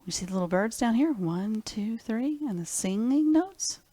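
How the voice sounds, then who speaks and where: A woman's voice: a little high, animated, moderate pace, mellow, dry recording. A woman hosting a children's library storytime, reading picture books expressively and speaking simply and directly to young listeners.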